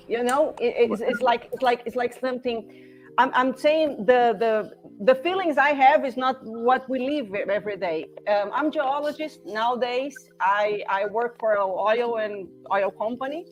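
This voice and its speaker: A Brazilian woman in her forties speaks English with a Portuguese accent, her voice pitched a bit high. She talks very slowly, stopping and restarting as she hunts for the right English words. Faint music sits in the background. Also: poor audio. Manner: halting, searching for English words, many restarts. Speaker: podcast guest, former classmate. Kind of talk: casual conversation.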